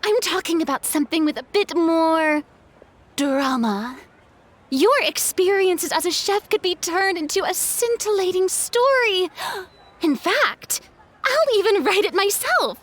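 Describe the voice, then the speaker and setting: A woman voicing a flamboyant, excitable young film director in a video game, with a dramatic, effusive and grandiose manner. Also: very high-pitched, measured pace, full character voice. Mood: excited, dreamy.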